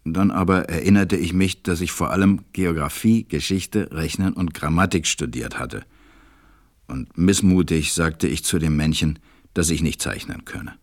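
A very low-voiced, serious pilot tells his story in a radio play. He speaks in a measured, deliberate way, like someone recalling what happened to him. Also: dry recording, studio quality. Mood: reflective, wry. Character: stranded adult male pilot, serious narrator recalling events. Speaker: narrator. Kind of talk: storytelling narration in an audio drama.

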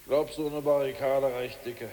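An actor playing a grumbling deposed party official. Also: male voice, medium pitch, level tone, normal speed, dark tone, big-room sound, a little hiss or hum, lightly acted. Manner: grumbling, hesitant, fussy. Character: ousted male Politburo member, fretful and grumbling. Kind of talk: 1980s radio play acting.

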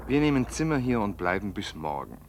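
An actor playing a practical, somewhat gruff husband and father in a German radio play, in a matter-of-fact way. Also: lowish voice, very animated, brisk, a little hiss or hum. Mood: concerned, practical.

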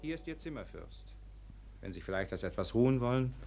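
A man's voice: mid-range voice, big pitch swings, fairly quick, gravelly, over loud music. An actor playing a vain, anxious, hot-tempered young man of society in a German radio drama, agitated and accusing. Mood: restrained, polite. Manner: agitated, accusing, swinging from muttering to outbursts.

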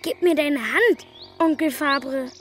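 A woman's voice: sits high, slow, noisy background. A performer with a female-sounding voice playing a curious young boy full of wonder in a radio play.

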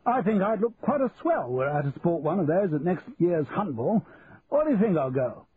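A man puts on a jolly, hesitant English toff from a storybook, plummy and upper-class. His pitch is raised and swoops about as he splutters. The sound is narrow and phone-like. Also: sing-song, normal speed. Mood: jolly, flustered. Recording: muffled narrow-band audio with hiss.

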